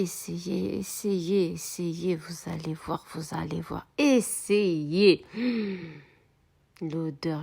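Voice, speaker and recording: A woman talking viewers through a home baking recipe, expressive and repeating words for emphasis. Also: lowish voice, creaky. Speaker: home cooking vlogger. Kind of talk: chatty cooking tutorial.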